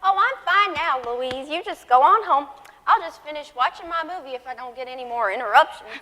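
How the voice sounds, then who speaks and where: A woman's voice: sits high, animated, brisk, pushed and bright. A woman playing a feisty but tender elderly mother in a stage comedy, with a wry, theatrical delivery. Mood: wry, fond. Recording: echoey hall with long reverb.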